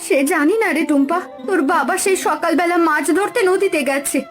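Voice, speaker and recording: A woman's voice: extremely high pitch, a little fast. A woman playing a worried, poor village mother in a cartoon folktale, fretful and emphatic. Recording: music behind the voice.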